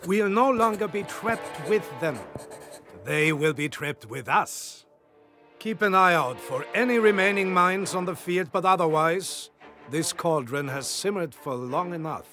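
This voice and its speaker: An actor playing a shrewd, confident German panzer general in a game, with a German accent and a theatrical, measured, commanding manner. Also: male voice, high voice, fairly bright tone. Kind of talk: dramatic video game military briefing.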